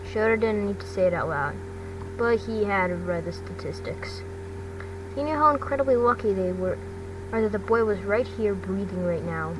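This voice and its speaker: A woman's voice: medium pitch, lively intonation. A woman narrating fan fiction aloud, stumbling often and repeating words as she reads. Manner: halting reading with frequent stumbles. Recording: distant mic, clearly noisy background.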